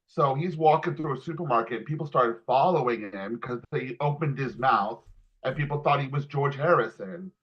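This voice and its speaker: A man's voice: mid-range voice, normal speed, husky, highs cut off. A man telling anecdotes casually and informally, with some swearing, as a panelist.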